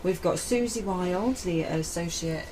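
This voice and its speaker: A woman hosting a radio show, chatty and warm as she introduces the guests. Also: lowish voice, background noise. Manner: chatty, warm, listing names briskly. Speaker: radio host and festival director. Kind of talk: radio interview.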